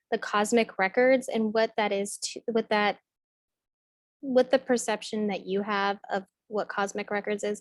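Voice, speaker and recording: A woman's voice: medium pitch, dry recording. A woman hosting an interview over a video call, asking questions in an upbeat, encouraging way. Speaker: host.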